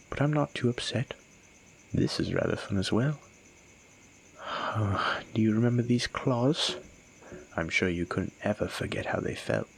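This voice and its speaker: A man voicing a gravelly, teasing male monster. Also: on the low side, slight background noise, low-quality recording. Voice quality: gravelly, breathy whispers. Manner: teasing, menacing, slow and savoring. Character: sadistic monster stalking a human, male. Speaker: voice actor in an audio roleplay. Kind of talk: audio roleplay monologue.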